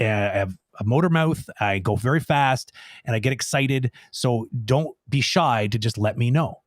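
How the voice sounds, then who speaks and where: A middle-aged man hosts a casual live Q&A as a native English speaker. His medium-pitched voice sits in a dry space with little room sound. He talks in an animated, joking way and spins his points out at length. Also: dry recording. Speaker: host.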